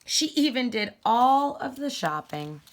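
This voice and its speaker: Expressive woman reading a storybook, unhurried. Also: fairly high pitch, slight background noise. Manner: deliberate, emphatic storytelling. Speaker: teacher reading a picture book aloud. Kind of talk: expressive children's storybook read-aloud.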